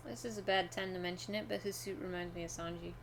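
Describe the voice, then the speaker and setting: A woman's voice: on the low side, steady tone, fast talker, background noise. A woman streaming a video game, chatting casually with a co-host. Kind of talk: casual gameplay chat with a co-host. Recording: loud game music under voice. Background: game music.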